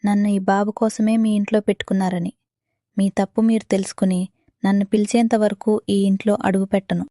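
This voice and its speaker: A woman's voice: medium pitch, flat delivery, fairly quick, dark tone, no echo, studio quality, close to an everyday voice. A woman playing a devoted, easily hurt young village wife in a children's moral cartoon, speaking earnestly. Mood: hurt, resentful.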